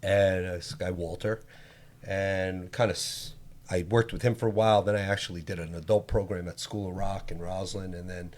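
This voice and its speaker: A middle-aged man with a lowish voice answers interview questions. He speaks modestly and a little haltingly, with plenty of fillers. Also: fairly even intonation. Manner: modest, hesitant, many fillers. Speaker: guest, physical therapist and singer.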